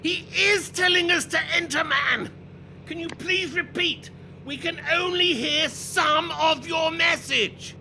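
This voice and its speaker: Give this one a bloodthirsty British major in a radio war drama. His voice is extremely high for a man and he barks orders pompously. A vehicle runs noisily behind him. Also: slow pace, pushed and bright, exaggerated voice. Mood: impatient. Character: bloodthirsty pompous British army major, male, hot-tempered. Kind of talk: radio play acting. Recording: noisy, with vehicle rumble behind. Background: vehicle engine noise.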